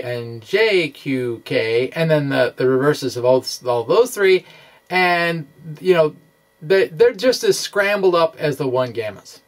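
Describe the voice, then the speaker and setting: Male science presenter, fairly high voice, slow and halting delivery, thinks aloud with a self-deprecating touch. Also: vocal fry. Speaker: presenter of a physics video blog. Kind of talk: informal science lecture.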